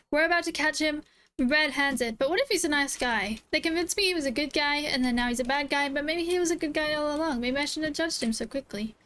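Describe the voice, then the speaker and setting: High-voiced, playful woman streamer. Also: fairly quick. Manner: expressive, exclamatory, playful. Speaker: streamer reacting to a game. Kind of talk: casual gameplay reaction commentary.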